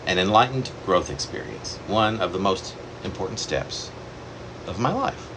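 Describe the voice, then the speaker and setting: A man reading his own long poem aloud in a wandering, self-correcting way, with sudden leaps in pitch. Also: animated, unhurried, noisy background. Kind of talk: poetry reading.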